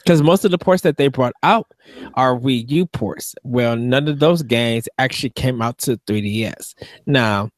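A man's voice: mid-range voice, unhurried, dry recording. A young man co-hosting a casual gaming podcast, reasoning through his points earnestly on a studio mic at home. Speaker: podcast co-host. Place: home room with game posters. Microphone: studio mic on boom arm with headphones.

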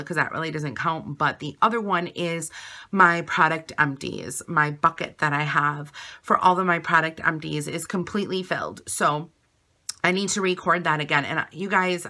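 A woman in her forties talks casually to a phone camera in a dim bedroom, rambling with plenty of ums. Her voice is raspy and airy from asthma, low in pitch yet bright and edgy in tone. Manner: chatty, rambling with frequent ums. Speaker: vlogger. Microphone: webcam or phone at arm's length.

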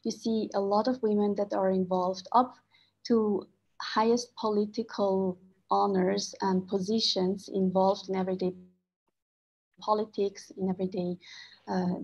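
A woman from Switzerland speaking on a video call as a panelist, earnest and measured, with frequent fillers. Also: mid-range voice, darkish tone, breathy edge, poor audio. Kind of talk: panel discussion remarks.

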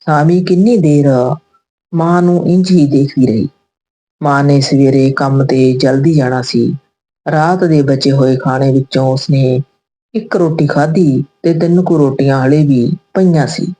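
A woman with a low-pitched, soft-edged mellow voice. She reads scripted narration as a storyteller, telling an emotional moral tale. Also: steady tone, measured pace, husky, rough recording.

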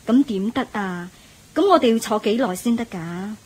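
A woman's voice: fairly high pitch, expressive, normal speed, darkish tone, husky. An actress playing a curious, easily amazed laywoman in a radio drama, questioning in a wide-eyed way. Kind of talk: Cantonese radio drama acting.

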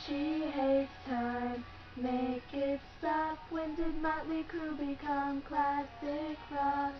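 High-pitched young woman singing along, lo-fi. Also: little pitch movement, drawn-out words. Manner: playful, giggly. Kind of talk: amateur pop-rock sing-along. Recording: dull lo-fi home recording with loud music. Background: pop-rock song playing.

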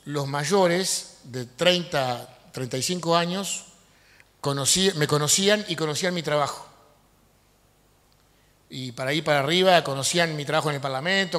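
A middle-aged man giving an animated conference lecture on a handheld mic in a large university auditorium, with fillers and restarts. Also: fairly high pitch. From Uruguay. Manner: animated, gestures while speaking, frequent fillers and restarts. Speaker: lecturer and politician. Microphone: handheld microphone. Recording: long hall reverb.

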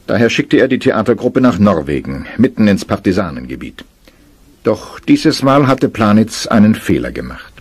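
A man's voice: fairly low pitch, sing-song, normal speed, a little mellow, husky. A man giving scripted narration as the storyteller of a crime radio drama.

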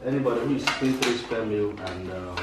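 A man's voice: on the low side, a little fast. A middle-aged man talking earnestly and a little preachy in a casual home vlog. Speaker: vlogger's husband. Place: large tiled living room, indoors. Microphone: far camera. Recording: echoey room from far off, loud noise.

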